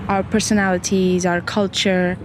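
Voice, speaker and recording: A woman's voice: fairly low pitch, little pitch movement, moderate pace, noisy background. A woman from Angola, a biologist, speaking candidly in an interview.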